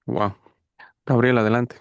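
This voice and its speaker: A man hosting a Bible podcast, hesitant, often filling pauses with "este". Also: medium pitch, steady tone, gravelly, dry recording. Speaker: podcast host. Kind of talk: moderating discussion.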